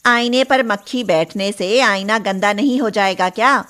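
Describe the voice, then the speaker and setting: A woman's voice: mid-range voice, a little slow, a bit bright, close to an everyday voice. A woman voicing a condescending, middle-aged rich lady of the house, mocking and patronizing, in a story.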